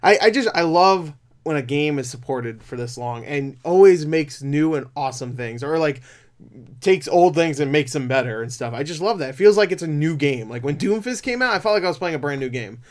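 A man's voice: on the high side, expressive, fairly quick. A man in his twenties giving casual, rambling, sweary reaction commentary as a video host. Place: small room at home.

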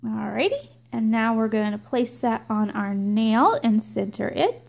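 A young woman hosting her own nail art channel, explaining a stamping tutorial slowly and with many ums. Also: fairly high pitch, lively intonation, gravelly, a little mellow. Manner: slow, filler-heavy explaining with many ums. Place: indoors at a table, hands filmed from above.

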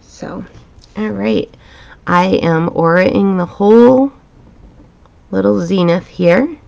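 Slightly raspy woman, calm drawing teacher. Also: mid-range voice, slow, dull audio. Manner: calm, chatty, reassuring, with frequent ums. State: slightly raspy from a dusty room. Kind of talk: relaxed step-by-step drawing tutorial. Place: home art studio, indoors.

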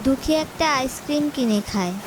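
A woman's voice: high-pitched, lively intonation, fairly quick, heavy background noise. A woman reading scripted narration for a cartoon story.

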